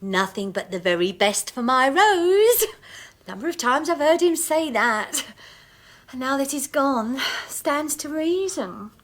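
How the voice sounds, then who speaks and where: An actress playing a coarse, grasping widow in a radio drama, brash and scornful in manner. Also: female voice, high-pitched, very animated, a little slow, a bit bright, heavily acted. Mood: gloating.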